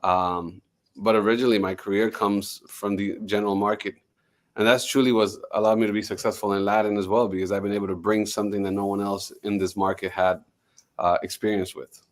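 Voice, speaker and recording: Low-pitched male guest, grew up in Miami, confident executive tone, restarts phrases as he talks. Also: little pitch movement, a little fast. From Miami, United States. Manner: fluent, confident, with frequent restarts. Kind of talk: podcast interview.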